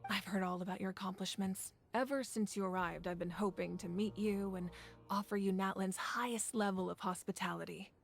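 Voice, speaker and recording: A woman's voice: mid-range voice, steady tone, vocal fry, lightly acted. Woman playing a composed, commanding fire ruler. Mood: steady. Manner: composed, measured, earnest. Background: game music.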